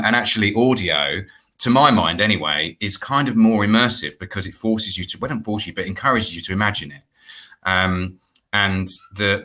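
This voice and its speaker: Deep, bright-voiced British man. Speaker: guest, theatre producer. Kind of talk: earnest conversational explaining. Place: indoor room, video call.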